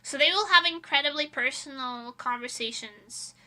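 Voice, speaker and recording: Young female VTuber streamer, high and piercingly bright voice, informal giggly delivery, rambling anecdotes in a casual chat style. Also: moderate pace. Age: young adult. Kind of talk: casual livestream chat and storytelling.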